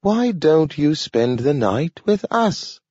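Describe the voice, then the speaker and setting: Eager young talking dog, a man putting it on, way up high, careful odd-sounding words, dull lo-fi audio. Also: big pitch swings, takes it slowly, darkish tone, no echo, full character voice. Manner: careful pronunciation like a learner, odd intonation. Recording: dull lo-fi recording.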